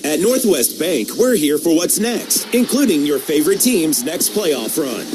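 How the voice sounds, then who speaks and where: A man with a lively, fairly high voice and narrates a scripted radio advertisement. Music plays clearly behind him. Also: measured pace. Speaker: commercial announcer.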